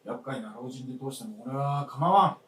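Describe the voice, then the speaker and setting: Stubborn old widower-style father played by a male actor, gruff and weary, heard at a distance in radio drama. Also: medium pitch, moderate pace, fairly bright tone, lightly acted. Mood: weary, resigned. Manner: gruff, blunt old-fashioned speech. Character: stubborn, weary elderly father living alone. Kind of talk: Japanese radio drama acting on stage. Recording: roomy distant stage recording, faint noise.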